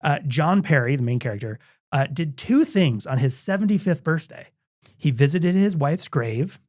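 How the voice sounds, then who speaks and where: A man's voice: on the high side, animated, moderate pace, a little mellow. A man co-hosting a podcast, chatting casually about a book with many restarts, fillers and laughs. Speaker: podcast co-host.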